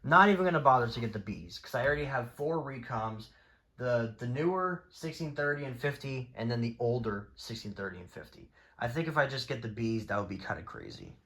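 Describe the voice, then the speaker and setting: A man's voice: medium pitch, animated, fairly quick, fairly bright tone. A man chatting informally and off the cuff as he presents a hobby collection, rambling and easily exasperated. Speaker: hobbyist video creator presenting a radio collection. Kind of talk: casual unscripted show-and-tell chat.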